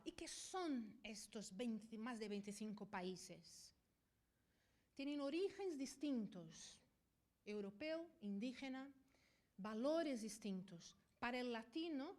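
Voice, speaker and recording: Woman, high voice, Brazilian with a Spanish-from-Spain accent, middle-aged, conference lecture, keeps asking "ok?". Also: lively intonation, a little mellow. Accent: Brazilian Portuguese speaker with Spanish from Spain. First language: Portuguese. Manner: didactic, often checks in with a quick "ok?". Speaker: veterinarian and university professor giving a talk. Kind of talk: conference presentation.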